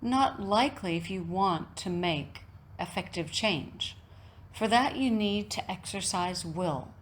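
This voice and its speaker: A woman teaching yoga nidra, giving a reflective guided meditation talk in measured, deliberate phrases with frequent pauses. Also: medium pitch, lively intonation.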